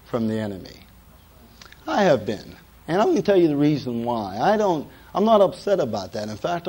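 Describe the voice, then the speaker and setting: A middle-aged man preaching a sermon as pastor, hoarse from a cold, folksy and joking, with many "uh" fillers. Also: mid-range voice, a little mellow.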